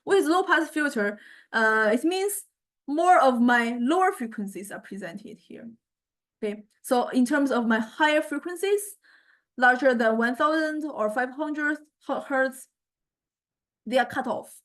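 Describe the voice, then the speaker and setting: High-voiced woman lecturing, hesitant and conversational. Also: animated, unhurried. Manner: hesitant, searching for words, conversational explaining. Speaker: university music technology lecturer. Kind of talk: informal lecture with software demonstration.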